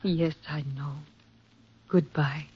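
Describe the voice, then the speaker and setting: A woman's voice: low-pitched, steady tone, slow pace. A woman acting a devoted, resolute lover in earnest 1940s radio drama style. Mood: tender, soothing. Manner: earnest, insistent, tender. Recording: muffled, noisy vintage 1940s radio recording.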